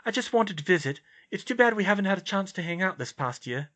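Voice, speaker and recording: A man's voice: sits high, sing-song, fast talker, a bit bright, voice pushed up. A man voicing an earnest, brainy teenage girl in a story, flustered and prone to babbling. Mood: friendly, puzzled. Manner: stammering, babbling, indignant bursts.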